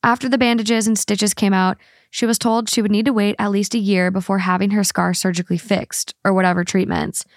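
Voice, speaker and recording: A woman co-hosting a casual podcast, reading long online posts aloud in an even, steady way and chatting between them. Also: medium pitch, a little fast, dry recording, clean studio sound. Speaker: podcast co-host.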